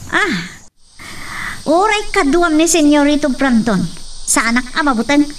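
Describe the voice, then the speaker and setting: Woman acting a defiant, high-voiced young Ilocano heroine. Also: a little slow. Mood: defiant, confronting. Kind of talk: Ilocano radio drama acting. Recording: distant mic, faint background noise.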